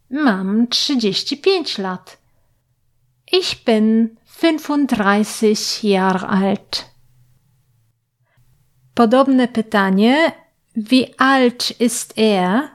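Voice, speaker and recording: A woman teaching German to learners, speaking clearly and patiently and pausing so the listener can repeat. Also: on the high side. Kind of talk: slow, clear language lesson with repetition drills.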